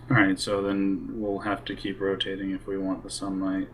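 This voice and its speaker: Low, very flat-toned man. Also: normal speed, a little hiss or hum, low-quality recording. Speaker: role-play game player. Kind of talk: casual in-character group planning. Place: online voice session for streamed role-play game.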